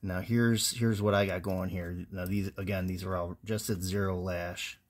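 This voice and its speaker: A man with a somewhat low voice talks through engine parts in a plain, technical way, with ums and restarts. He sounds like a casual garage how-to, recorded close on a handheld phone. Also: little pitch movement, normal speed. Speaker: home mechanic. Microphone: handheld phone camera close over engine.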